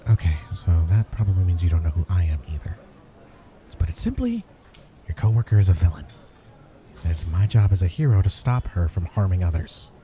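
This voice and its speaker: Male actor as sarcastic do-gooder hero for comedy ASMR roleplay; deep, mellow, earnest, slight background noise. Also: sing-song, a little fast. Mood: earnest warning. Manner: sarcastic, talks straight to the listener. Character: earnest, wry male superhero griping at a cafe.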